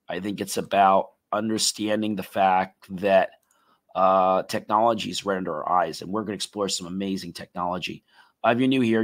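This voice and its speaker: A man hosting a live tech talk show, his voice a little raspy and tired, rambling with many fillers and restarts. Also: fairly low pitch, fairly bright tone.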